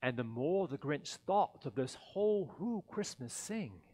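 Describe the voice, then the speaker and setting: A man in his forties reading a children's story theatrically, with dramatic pauses and expressive emphasis. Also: fairly high pitch. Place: church sanctuary, seated in an armchair. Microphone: headset.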